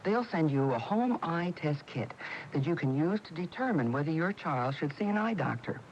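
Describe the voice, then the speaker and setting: Lively higher-pitched American male announcer. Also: moderate pace. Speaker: public service announcer. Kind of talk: scripted radio announcement. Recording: muffled AM radio with faint noise.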